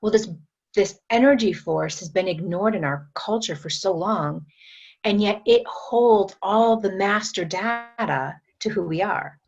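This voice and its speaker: A woman guest on a podcast interview, explaining her energy work in an unhurried way, with many fillers and restarts. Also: medium pitch, darkish tone, breathy edge, dull audio. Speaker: guest, metaphysician and energy trainer.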